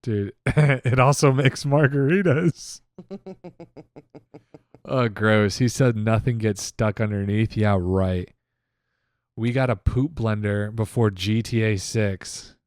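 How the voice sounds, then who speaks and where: A man's voice: fairly low pitch, takes it slowly, darkish tone, dry recording, clean studio sound. A man in his thirties co-hosting a casual reaction podcast, mocking clips with heavy swearing and frequent laughs. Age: 30s. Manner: profane, mocking, laughs often. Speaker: podcast co-host.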